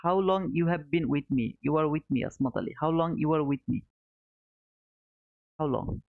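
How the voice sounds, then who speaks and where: Through a thin, phone-like connection comes a fairly high-voiced man. He chats casually as a stream host, repeating short phrases and addressing viewers like pals. The room adds some echo. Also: normal speed, creaky. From Bangladesh. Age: 30s. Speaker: live trading stream host. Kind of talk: casual chat, answering viewer comments. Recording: narrow phone-like sound, roomy echo.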